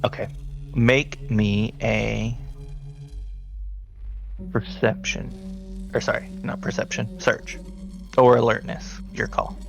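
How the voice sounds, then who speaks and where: A man's voice: lowish voice, little pitch movement, slow pace, vocal fry, pauses often, fairly bright tone, soft background music. A man improvising narration as a tabletop game master, talking conversationally and directly to his players.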